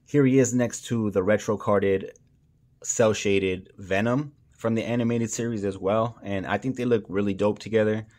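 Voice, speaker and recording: A man's voice: fairly low pitch, steady tone, normal speed, darkish tone. A man hosting a casual toy review, speaking informally and enthusiastically with plenty of slang.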